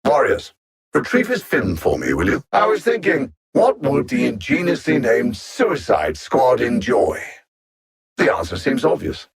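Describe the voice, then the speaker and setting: An actor playing an arrogant, cold alien supervillain in a video game, haughty and theatrical, savoring every word. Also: male voice, medium pitch, huge pitch swings, unhurried, creaky, heavily acted. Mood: taunting, contemptuous.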